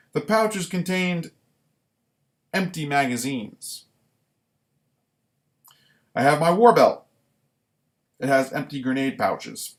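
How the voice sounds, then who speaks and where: Slow-paced man reading and commenting. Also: on the high side. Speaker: writer reading own work; former military recruit. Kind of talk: live reading of own writing with casual commentary.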